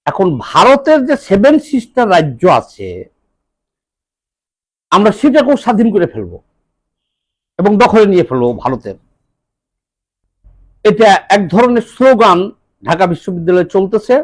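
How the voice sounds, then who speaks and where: Bangladeshi guy doing political talk, high pitched, very up and down, chops sentences into short bits. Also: sing-song. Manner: deliberate, halting phrases with rhetorical questions. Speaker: political commentator. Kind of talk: political monologue to viewers.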